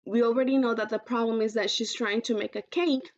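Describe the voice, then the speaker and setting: A woman teacher reading aloud to children in an instructive way, pausing to pose questions, on a dull recording. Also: a little high, fairly even intonation. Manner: instructive, poses questions to listeners.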